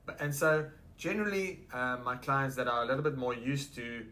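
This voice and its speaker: A man's voice: medium pitch, animated, normal speed, pushed and bright, a little hiss or hum. A man from South Africa with a South African accent, confidently explaining home buying in an office interview. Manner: practical, confident, advising with asides. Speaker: real estate sales executive. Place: real estate office.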